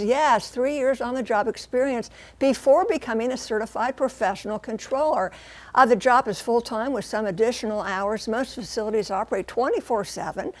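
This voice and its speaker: A woman with a somewhat high-pitched American voice delivers a broadcast news report. She phrases things deliberately and puts emphasis on key words, as a reporter explaining to viewers. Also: a little mellow.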